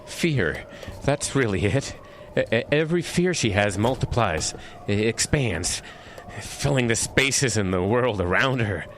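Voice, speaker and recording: An actor playing an earnest, freezing, fond but exasperated man who speaks haltingly with small stammers in an audio drama. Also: fairly low pitch, animated, a bit bright, slight background noise. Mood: earnest, reflective.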